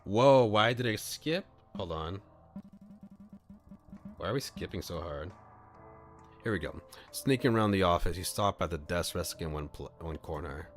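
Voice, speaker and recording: Very deep-voiced man narrating a visual novel. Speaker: streamer reading a visual novel aloud. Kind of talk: livestream narration of a visual novel with casual asides.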